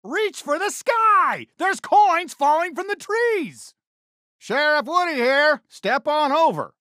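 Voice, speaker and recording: A man's voice: very high voice, big pitch swings, takes it slowly, bright, forceful tone, no room sound, clean studio sound, heavily acted. A man voicing a friendly, upbeat toy cowboy sheriff for a video game, with an American accent and a folksy Western drawl. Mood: excited, then upbeat, welcoming. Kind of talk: animated video game character lines.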